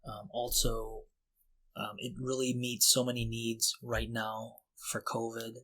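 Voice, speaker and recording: A man co-hosting a podcast, talking casually and explaining things in an informal, halting way. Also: medium pitch, completely flat delivery, bright, forceful tone, rough recording. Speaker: podcast co-host.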